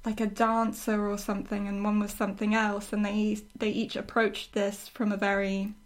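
A woman talking casually and reflectively as a writer discussing her work, often halting as she thinks aloud. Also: medium pitch, completely flat delivery. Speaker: writer discussing her own storytelling project.

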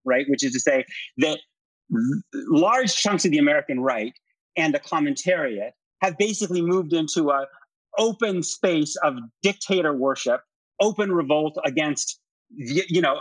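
A man's voice: fairly high pitch, fairly bright tone, husky, dry recording, low-quality recording. A middle-aged man arguing politics as an interview guest, insistent and often repeating phrases as he presses his point. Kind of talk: heated political debate.

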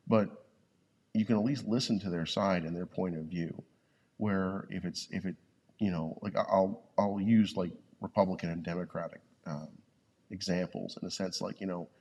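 A man co-hosting a podcast in casual conversation, with a very deep, gravelly voice, speaking haltingly and thoughtfully, lying on a yoga studio floor close to the mic. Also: darkish tone. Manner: halting, restarts often, thoughtful. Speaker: podcast co-host. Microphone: podcast mic, leaning in close.

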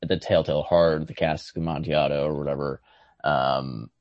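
A man with a low-pitched voice talks casually as a co-host. He feels his way through his opinions, halting and restarting as he thinks aloud. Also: fairly even intonation, dull audio. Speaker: podcast co-host. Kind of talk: casual literary chat.

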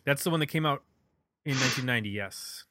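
A man's voice: mid-range voice, lively intonation, fast, bright, forceful tone, no echo, clean studio sound. A middle-aged man chatting casually as the host of a music podcast.

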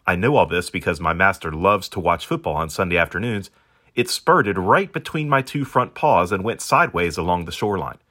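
A man's voice: on the low side, bright, forceful tone. A man reading as a pampered, proud, hungry stranded dog, narrating in a wordy, wry, self-important manner. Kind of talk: first-person storybook narration in character.

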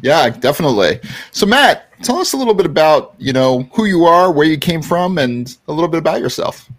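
A man's voice: fairly high pitch, sing-song, brisk. A man hosting a podcast interview, welcoming his guest and asking short friendly questions. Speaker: podcast host.

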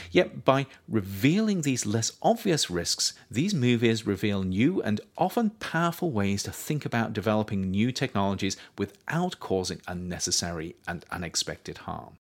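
A man reading his own nonfiction book aloud as scripted audiobook narration, with measured, emphatic phrasing. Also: fairly low pitch, sing-song.

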